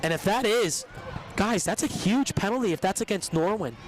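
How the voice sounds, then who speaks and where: A man with a high, squeaky voice calls a football game play by play. He is a little under the weather but stays quick and energetic through the action. His tone is that of live sports commentary. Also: a little hiss or hum. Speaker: play-by-play announcer.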